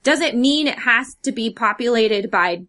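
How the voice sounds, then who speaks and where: A woman co-hosting a casual podcast chat, talking earnestly and haltingly, with "like" scattered all through. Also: a little high, lively intonation, bright, forceful tone. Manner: earnest, halting, filler-heavy with frequent "like". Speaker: podcast co-host. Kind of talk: casual chat about fandom and stories.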